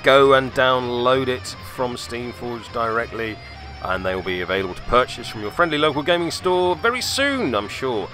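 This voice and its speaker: A man's voice: medium pitch, very animated, moderate pace, music in the background. A British man hosting a tabletop game recap, talking casually in a wry, self-deprecating, rambling way. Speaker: host of a tabletop game battle report channel. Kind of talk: casual scripted-free game recap commentary.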